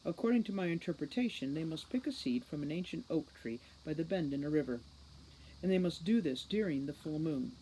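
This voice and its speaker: Lady reading as a bossy brainy kid mastermind in a storybook, formal and impatient, softish mellow voice. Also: deep voice, lively intonation, a little fast, slight background noise, rough recording. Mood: lecturing. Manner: formal, lecturing, precise diction. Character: young boy criminal mastermind, precise, condescending, impatient.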